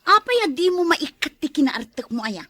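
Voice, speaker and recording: A woman's voice: sits high, big pitch swings, moderate pace, fairly bright tone, no echo. A woman with an Ilocano accent playing a fed-up wife who nags her drunken husband in an audio drama. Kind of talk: Ilocano radio-style drama acting.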